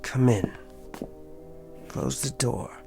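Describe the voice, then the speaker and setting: An actor playing a calculating, quietly persuasive man in a television drama, speaking deliberately and insistently like a salesman closing a deal. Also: medium pitch, creaky, over music. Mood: coaxing, persuasive.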